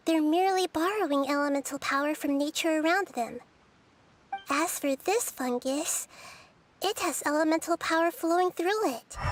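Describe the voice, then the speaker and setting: A woman voicing a gentle, wise, young-looking girl goddess in a video game, speaking softly and thoughtfully. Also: high voice, slight background noise, lightly acted. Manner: soft, thoughtful and reassuring.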